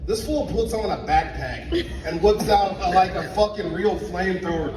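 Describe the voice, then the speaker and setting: A young man from Liberia performing a stand-up comedy set in a club, telling animated stories and acting out both sides of the dialogue. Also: high voice, moderate pace, crowd noise. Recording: echoey club sound from a distance. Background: audience laughter.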